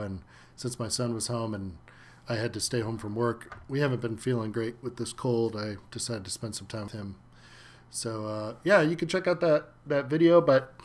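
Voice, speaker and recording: A man hosting a casual live stream from home, slightly stuffy from a cold, chatting loosely with many fillers and greeting viewers by name. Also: medium pitch.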